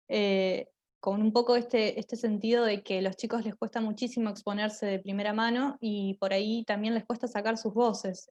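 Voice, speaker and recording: A woman's voice: medium pitch, darkish tone. A young woman with an Argentine accent talking in a reflective, enthusiastic way in a panel interview, as a drama teacher and performer. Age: young adult.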